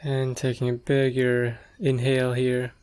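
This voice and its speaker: A man leading a guided meditation, speaking gently and leaving long pauses between short phrases. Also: medium pitch, monotone, clear, resonant tone. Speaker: meditation teacher.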